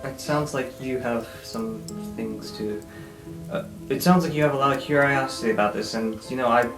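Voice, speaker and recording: A man voicing an awkward, polite, weary character in an improvised fantasy roleplay podcast, halting and tactful. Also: mid-range voice, lightly acted. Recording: roomy sound with guitar music behind.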